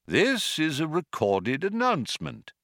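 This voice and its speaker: A man's voice: on the high side, wildly swooping pitch, fairly bright tone, no room sound, clean studio sound, pitched above the performer's own voice, full character voice. Man voicing an ancient, hollow, courteously menacing announcer. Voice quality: hollow, reedy. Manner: slow, courteous, almost charming, menacing. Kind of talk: science fiction audiobook character voice.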